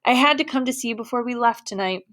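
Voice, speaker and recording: A woman voicing an upset young girl who is tearful, pleading and quick to anger. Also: a little high, a bit bright, voice pushed up, natural voice.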